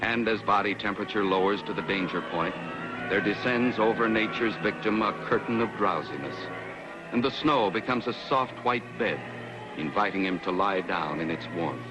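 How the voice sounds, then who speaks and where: A man giving grave, measured dramatic narration for a 1950s television adventure. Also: on the low side, lightly acted, poor audio. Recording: vintage TV soundtrack with prominent music.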